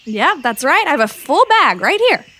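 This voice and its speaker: Woman playing a high-voiced, sassy schoolgirl. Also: sing-song, normal speed, pushed and bright, heavily acted. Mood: coaxing. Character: spirited, sarcastic schoolgirl, wary yet persuasive. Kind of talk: comedic audio drama acting.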